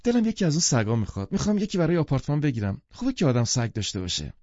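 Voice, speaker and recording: Man putting on an eager, gushing woman, pitched up. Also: sing-song, a little mellow, no echo, lo-fi sound. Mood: eager, wanting. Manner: enthusiastic, demanding. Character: eager, gushing, self-important married woman in a novel.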